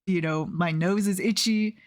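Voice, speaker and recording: A young woman with a fairly low voice chats on a stream. She tells loose, rambling stories and fills her sentences with little hesitations. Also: unhurried. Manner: rambling storyteller with many fillers and soft laughs. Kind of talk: casual chat while drawing on stream.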